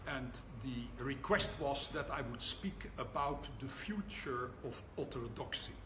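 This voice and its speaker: Older dutch guy giving a talk, wry and slow, echoey room. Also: mid-range voice, expressive. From Netherlands. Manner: wry, deliberate, pauses for laughs. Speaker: rabbi giving a lecture. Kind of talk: public lecture. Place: lecture hall with an audience. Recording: echoey hall, audible room noise.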